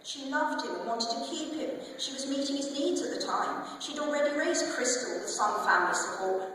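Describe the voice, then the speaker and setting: A woman's voice: sits high, moderate pace, a bit bright, pitched above the performer's own voice. A woman voicing an earnest, combative female social worker, insistent and indignant, in a novel reading in an echoey theatre. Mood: pleading. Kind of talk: dramatised public novel reading. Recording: echoey theatre hall from far off, faint noise.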